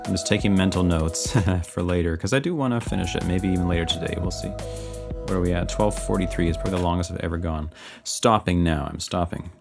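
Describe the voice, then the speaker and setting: A man's voice: low voice, fairly even intonation, fairly quick, vocal fry. A man from British Columbia with a light West Coast Canadian accent, a music producer casually thinking aloud and muttering self-critical notes while mixing a track. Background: faint music playback.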